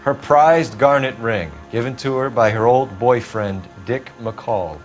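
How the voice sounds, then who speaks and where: An actor playing an earnest, relentless American police detective in a crime game, clipped and formal. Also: male voice, medium pitch, a little slow, soft background music, lightly acted. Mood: accusing. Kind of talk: dramatic video game acting.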